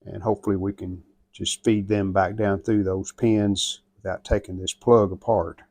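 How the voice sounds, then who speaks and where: A man's voice: sits low, flat delivery, normal speed, a little mellow, no echo. An American man narrating hands-on repair work in a folksy, plainspoken way, thinking aloud as he goes. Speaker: host of a radio restoration channel.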